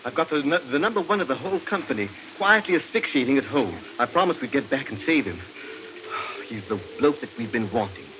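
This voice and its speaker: An actor playing an urbane, witty gentleman detective in a theatrical 1940s radio drama style. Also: mid-range voice, lively intonation, quick pace, close to an everyday voice. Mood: brisk. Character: gallant gentleman sleuth, breezy, urbane, witty adult man. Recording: hissy 1940s radio, rain noise over it.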